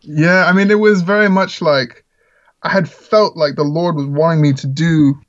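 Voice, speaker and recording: A man's voice: a little high, steady tone, moderate pace. Earnest guy from the czech republic rambling thru an interview, lotsa "you know", kinda muffled sound. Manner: earnest, rambling with frequent fillers. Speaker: musician guest. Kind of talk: casual interview talk.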